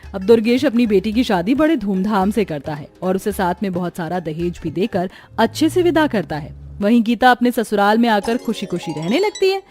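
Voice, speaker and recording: A woman's voice: mid-range voice, very animated, moderate pace, darkish tone, soft background music. A woman narrator reading a scripted story as storytelling narration.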